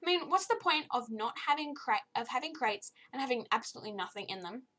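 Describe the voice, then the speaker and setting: A young woman with a slightly croaky voice, giving a chatty, easily exasperated video game review with lots of fillers. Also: on the high side, expressive, fairly quick, sharp tone. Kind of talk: casual spoken game review.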